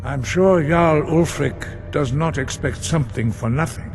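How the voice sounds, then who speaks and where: Solemn council elder for a game: measured diplomacy, higher register, echoing stone hall. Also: male voice, faint music behind. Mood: solemn, calm. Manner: formal, measured, conciliatory. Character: solemn, diplomatic monk presiding over council. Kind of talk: dramatic fantasy game dialogue.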